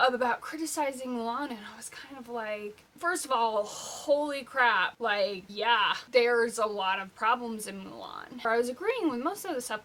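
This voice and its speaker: A young woman hosting a pop culture vlog, chatting casually in an animated, halting, informal way with emphatic asides. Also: fairly high pitch. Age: young adult. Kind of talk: casual opinionated vlog chat.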